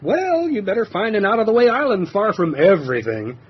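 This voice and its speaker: A man's voice: sits high, very animated, a little fast, breathy edge, heavily acted. A man playing an earnest, polite scientist aboard a submarine in an old-time radio drama. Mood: wry caution. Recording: dull lo-fi radio drama, music behind.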